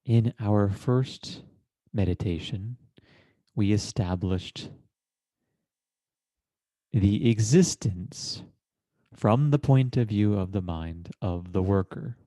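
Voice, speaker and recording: A man leading a guided meditation in a measured, deliberate manner, with formal, literary phrasing and long pauses between short phrases. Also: on the low side, mellow, no room sound. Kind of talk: guided meditation, slow contemplative narration.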